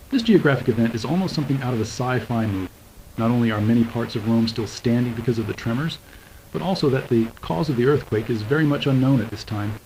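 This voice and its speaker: A man's voice: medium pitch, darkish tone, natural voice. A man playing a formal news anchor delivering an urgent scripted disaster bulletin for an analog horror film. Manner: formal, announcer-like. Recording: faintly noisy mock news tape.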